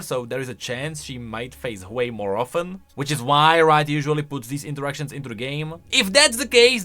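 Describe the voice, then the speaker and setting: Lively, bright-voiced man commentating on games. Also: on the high side. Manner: chatty, rhetorical questions, emphatic swings in delivery. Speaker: video game lore commentator. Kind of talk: casual scripted video commentary.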